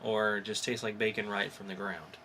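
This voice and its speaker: A man's voice: lowish voice, flat delivery, moderate pace, sharp tone, slight background noise. A man co-hosting a podcast in casual, informal banter. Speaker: podcast co-host.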